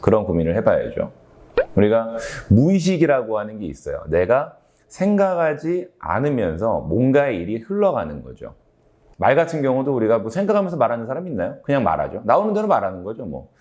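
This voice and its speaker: A man's voice: mid-range voice, huge pitch swings, brisk, a little mellow. A man speaking as a doctor hosting an explanatory health talk, conversational, often posing questions and answering them himself. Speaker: ENT doctor and sleep-health show host.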